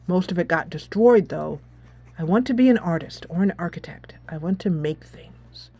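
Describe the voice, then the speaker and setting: Woman voicing a shy, hushed teenage schoolboy. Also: fairly low pitch, animated, moderate pace, husky, no echo, voice pushed down. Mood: shy, reluctant. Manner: soft, hesitant, subdued. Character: shy, gentle teenage Mongolian schoolboy, peace-loving.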